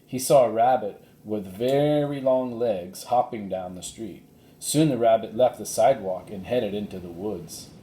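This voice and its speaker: A man's voice: measured pace, warm tone. A man narrating a children's storybook as a reader, recorded in an echoey room. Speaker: audiobook reader. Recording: roomy echo, faint rumbling noise.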